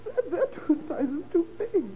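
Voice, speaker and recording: An actress playing a faded, anxious little housewife in an old radio crime drama, hesitant, flustered and often stammering. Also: female voice, very high voice, sing-song, measured pace, full character voice. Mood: ashamed, flustered. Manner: hesitant, stammering, repeats words. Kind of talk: 1930s-40s radio crime drama acting. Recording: old tinny radio recording with noise.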